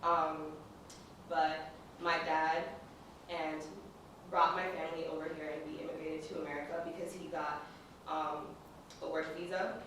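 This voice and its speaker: A young woman from the Dominican Republic sharing her story in a casual talk, relaxed and full of fillers. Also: deep voice, unhurried, long pauses, a little hiss or hum. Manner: relaxed, with many fillers and small laughs. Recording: echoey room, from far off.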